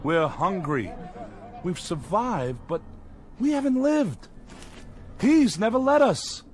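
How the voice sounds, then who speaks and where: Casting: impassioned hungry rebel, extremely slow, widely swinging pitch, anguished radio drama delivery. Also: male voice, lively intonation. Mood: desperate anger. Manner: halting, anguished. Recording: radio drama with loud music bed.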